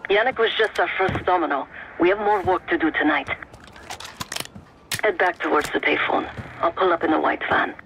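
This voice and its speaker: A woman's voice: lowish voice, normal speed, slight background noise, rough recording. A woman playing a tough, vengeful covert operative in an action game, terse and commanding as she guides a partner. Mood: cool, focused. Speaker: mission guide. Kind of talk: cinematic action game dialogue. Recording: loud game music underneath.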